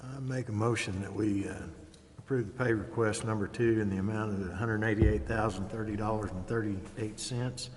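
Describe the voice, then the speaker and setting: A man's voice: lowish voice, steady tone, moderate pace, a little mellow. A man serving as a council member, formally stating motions and votes. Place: large indoor council chamber. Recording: reverberant hall sound.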